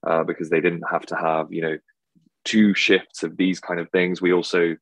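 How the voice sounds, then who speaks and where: A man's voice: deep voice, little pitch movement, normal speed, no room sound. A man with an English accent answering interview questions as a hospitality tech founder, rambling with lots of filler words. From Czech Republic. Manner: rambling, heavy filler words like "basically" and "you know".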